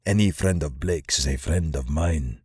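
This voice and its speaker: A man's voice: a bass voice, moderate pace, darkish tone, slightly rough, dry recording, studio quality. A man voicing a huge, loyal, good-natured vampire enforcer with an Eastern European accent in an audiobook. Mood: loyal, friendly.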